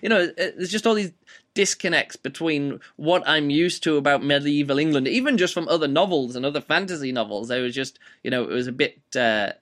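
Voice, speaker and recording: A man with a slightly rough voice giving a casual, rambling spoken book review, with many fillers and restarts. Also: a little high, brisk, a bit bright.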